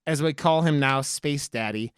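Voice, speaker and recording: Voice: medium pitch, normal speed, clean tone, no echo, clean studio sound. A podcast host chatting casually about movies in an emphatic, rambling, swear-heavy way. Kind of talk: casual chat, movie discussion.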